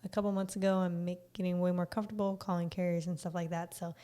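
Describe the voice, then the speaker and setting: A woman co-hosting a podcast in casual, low-key chat, with a slightly raspy voice and sounding a little tired. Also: level tone, quick pace, dark tone. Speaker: podcast co-host.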